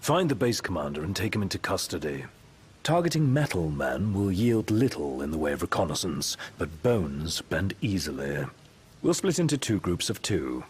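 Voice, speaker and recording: Game role: composed young strategist, low voice with expressive swings, articulate and calculating. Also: male voice, breathy edge, full character voice. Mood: calm, commanding. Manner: measured, precise, articulate. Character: composed, calculating young male tactician planning a raid. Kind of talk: dramatic video game voice acting.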